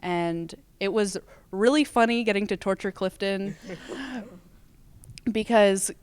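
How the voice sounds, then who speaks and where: A woman's voice: medium pitch, slow pace, clear, resonant tone. A teenage girl, a student actor, telling casual anecdotes in an interview. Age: teens.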